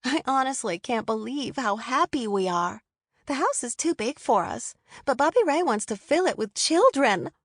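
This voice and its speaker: A woman voicing a cheerful young newlywed woman who talks in a bright, chatty way. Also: high-pitched, sing-song, normal speed, no echo, studio quality, voice pushed up, full character voice.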